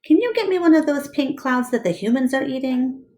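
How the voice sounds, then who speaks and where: A woman's voice: high voice, expressive, fairly quick, a little mellow, voice pushed up. A woman voicing a bold, curious baby girl elephant in a storybook, full of eager questions.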